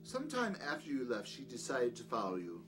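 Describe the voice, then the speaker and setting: Man acting a courteous, scheming doctor, highly animated. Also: fairly quick, faint music behind. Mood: feigned innocence. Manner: courteous, old-fashioned, commanding when crossed. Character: peculiar, scheming doctor, outwardly courteous, domineering to his servant. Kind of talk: audio drama acting.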